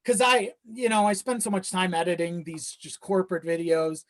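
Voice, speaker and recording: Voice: mid-range voice, moderate pace. Informal, sweary podcast guest in casual chat. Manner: informal, sweary, self-deprecating. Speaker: video editor.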